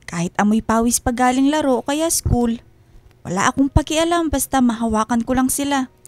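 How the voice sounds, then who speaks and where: A woman's voice: high-pitched, animated, normal speed, a little mellow, no room sound. A woman playing a loving, homesick mother in a radio drama, speaking tenderly and plaintively. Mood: longing, sad. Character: loving, homesick mother working abroad, talking to her husband. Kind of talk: emotional radio drama dialogue.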